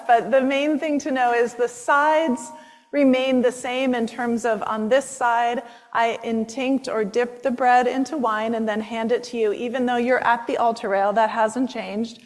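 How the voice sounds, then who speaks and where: Chatty woman priest in a reverberant church. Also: on the high side. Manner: chatty, self-interrupting with fillers. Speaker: priest leading the service. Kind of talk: liturgy and church announcements. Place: church sanctuary. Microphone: microphone.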